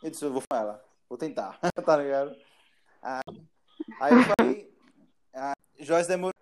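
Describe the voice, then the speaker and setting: A man's voice: on the high side, very animated, moderate pace, over a noisy crowd, low-quality recording. A man hosting a casual podcast chat, laughing often and trailing off mid-sentence. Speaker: podcast host.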